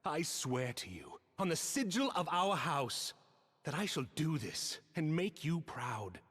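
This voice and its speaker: A gallant, idealistic knight in a fantasy game, heard with long hall reverb. His husky voice moves in lively sweeps as he declaims fervently in archaic phrases. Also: fairly high pitch, a little slow, exaggerated voice.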